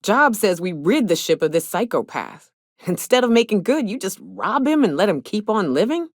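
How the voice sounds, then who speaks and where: An actress playing a hard-drinking, trigger-happy but loyal frontier mercenary in a video game, with folksy, salty, sardonic phrasing. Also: female voice, medium pitch, big pitch swings, normal speed, dry recording, clean studio sound. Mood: steady, blunt. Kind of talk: video game character dialogue.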